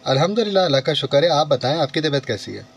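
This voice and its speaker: High-pitched Pakistani male radio host. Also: expressive, rapid-fire, creaky, breathy edge. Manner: chatty, courteous, repeats advice. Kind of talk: live morning call-in radio show.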